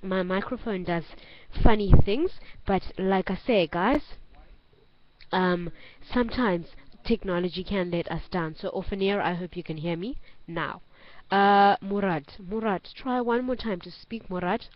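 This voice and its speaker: A woman with a South African accent teaching an online English class, giving instructions clearly and repeating phrases for learners. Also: medium pitch, unhurried. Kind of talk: online group lesson. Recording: dull lo-fi online call audio.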